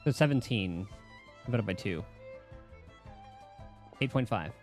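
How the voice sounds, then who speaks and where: A man's voice: fairly low pitch, lively intonation, slow, a little mellow, faint music behind. A man streaming a game with casual, informal commentary, muttering to himself as he plays.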